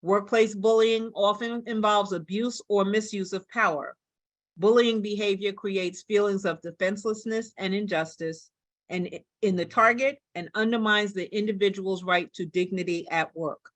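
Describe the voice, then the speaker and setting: A woman speaks as a staff presenter at a workshop. Her pitch sits in the middle for a woman, and she restarts phrases while pressing her points emphatically. The sound is dry, with little echo. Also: steady tone, moderate pace.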